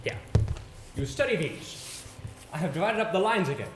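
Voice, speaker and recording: Man playing an earnest young gentleman, echoey stage. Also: high voice, lively intonation, moderate pace. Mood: persuasive. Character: earnest, confident young gentleman, theatrical and persuasive. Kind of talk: amateur stage play acting. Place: indoor theatre stage. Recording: echoey stage recording with background hiss.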